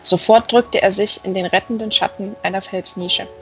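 A woman narrates a fantasy novel in at a medium pitch. The recording is a little dull, and music plays beneath her reading. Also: fairly even intonation, moderate pace, fairly bright tone. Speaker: audiobook reader.

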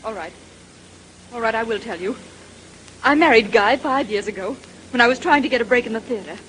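Woman playing a high-strung, high-voiced actress. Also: fairly quick, fairly bright tone, natural voice. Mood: anguished confession. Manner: emotional, dramatic, insistent. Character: high-strung, defensive actress with a troubled past. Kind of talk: 1930s radio drama acting. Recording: noisy vintage 1930s radio recording.

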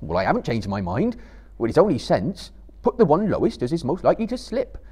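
A stubborn, gloomy hobbit servant, put on by a male reader who grumbles in plain rustic speech. His voice rides loud and raised above the narration, with a lively rise and fall. Audiobook storytelling. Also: on the high side, a little fast, breathy edge, pitched above the performer's own voice, exaggerated voice. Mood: grumbling, stubborn.

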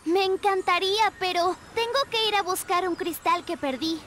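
An upbeat young mermaid girl from an animated game, with an extremely high voice over faint noise. She sounds polite, earnest and eager to help. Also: moderate pace. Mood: polite, helpful. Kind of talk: animated video game voice acting.

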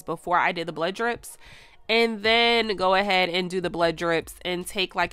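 A hoarse, stuffed-up woman rambling chattily through a casual nail art tutorial at home. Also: on the low side, a bit bright, no room sound. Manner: chatty and rambling, full of "like" and "you guys".

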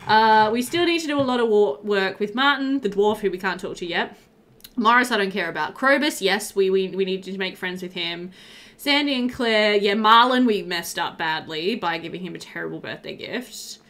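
A woman's voice: medium pitch, animated, normal speed, a bit bright. A woman in her thirties giving casual, chatty let's-play commentary, easygoing and thinking aloud as she plays. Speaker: gaming video host.

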